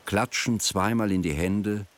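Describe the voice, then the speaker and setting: A man's voice: lowish voice, a little slow, slight background noise. A man reading scripted narration for a radio drama.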